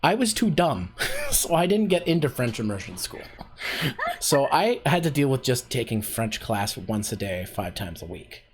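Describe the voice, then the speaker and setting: A man's voice: mid-range voice, big pitch swings, normal speed. A man hosting a casual voice acting workshop on a livestream, chatty and self-deprecating, often laughing at himself.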